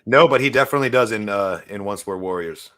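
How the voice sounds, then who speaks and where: A man's voice: fairly low pitch, quick pace, pushed and bright. A man from New Zealand with a New Zealand accent, hosting a casual podcast chat with enthusiasm and frequent laughs. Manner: enthusiastic, laughs often, fillers. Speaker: podcast host.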